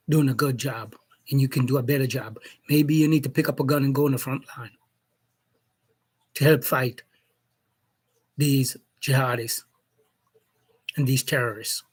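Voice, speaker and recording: A man from Jamaica delivers a spoken opinion piece at a very unhurried pace. His voice is husky and a little rough. He builds his case deliberately and pushes pointed questions at the people he criticizes. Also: medium pitch, a little mellow. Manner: slow, deliberate, challenging questions. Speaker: commentator. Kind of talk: opinion monologue.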